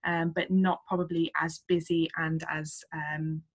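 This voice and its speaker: Young woman, chatty and low. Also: a little slow. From Yorkshire, England. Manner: chatty, many ums, lively laughs. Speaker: university representative guest. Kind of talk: webinar interview. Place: at home. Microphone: video call.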